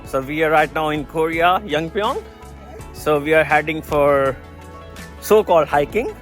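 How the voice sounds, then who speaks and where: A man's voice: a little high, a little slow, fairly bright tone, faint music behind. A man with a Pakistani accent chatting casually for a vlog and prompting the people around him. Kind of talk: casual vlog introduction and chat.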